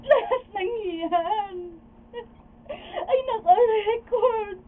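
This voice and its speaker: A tinny, phone-like recording of a radio drama. An actress plays a distressed, devoted wife with a very high voice, speaking slowly in a plaintive, weepy manner. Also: female voice, full character voice. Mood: tearful, aggrieved. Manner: plaintive, weepy, drawn-out delivery. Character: distressed loyal wife confiding tearfully in a friend. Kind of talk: radio drama acting in Tagalog.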